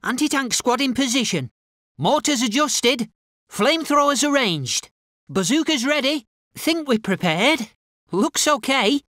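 A timid infantryman in a war game, with a notably high voice that swings up and down. He sounds unsure of himself and hesitates politely, taking his words slowly. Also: expressive, bright, forceful tone, no room sound, clean studio sound, exaggerated voice. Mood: hesitant, obedient. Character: timid, unsure British infantry soldier, eager to obey. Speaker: infantry soldier unit voice. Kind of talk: video game unit barks.

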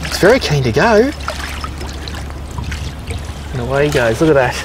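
A man, hosting a fishing show outdoors by a lake and getting loudly excited. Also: fairly high pitch, moderate pace, background noise. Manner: excitable, enthusiastic, laughs loudly. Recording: music bed under outdoor voice.

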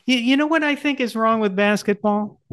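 High, clear-voiced older man. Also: normal speed, a bit bright. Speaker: podcast host, interviewer. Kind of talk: casual conversational interviewing. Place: indoor book-lined home office, video call. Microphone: close desk microphone.

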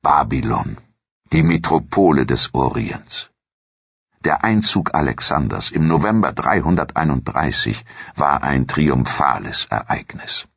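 Very deep, gravelly male history narrator. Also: expressive, measured pace, a bit bright, no room sound, muffled recording. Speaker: documentary narrator.

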